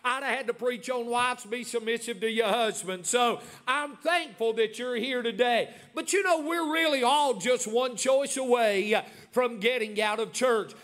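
A man's voice: very high-pitched, normal speed, hardly any pauses, fairly bright tone. A man in his forties preaching a sermon as pastor, with many "uh" fillers and emphatic repetition. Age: 40s.

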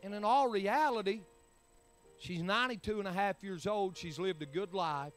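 A man's voice: sits high, normal speed, soft background music. A man, preaching a sermon in emphatic, rising bursts. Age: 40s. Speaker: pastor.

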